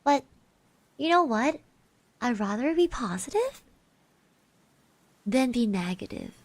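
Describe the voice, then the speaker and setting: Slow, gentle high-voiced ASMR woman. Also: very animated, dry recording. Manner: gentle, reassuring, hesitant musing with pauses and soft hums. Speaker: ASMR roleplay streamer. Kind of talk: soft-spoken ASMR roleplay, personal encouragement. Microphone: close microphone, dry.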